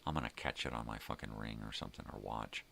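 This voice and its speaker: An older man with a very deep, gravelly voice chats casually in a rambling, drawn-out way, as a vlog host. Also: fairly even intonation, pushed and bright, slight background noise. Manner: rambling, drawn-out, mild swearing.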